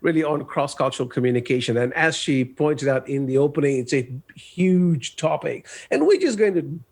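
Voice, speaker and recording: Fairly high-voiced man from Malaysia presenting. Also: expressive, normal speed, a little mellow. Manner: conversational, with occasional "uh". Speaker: guest speaker, cross-cultural coach. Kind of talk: presentation with personal storytelling.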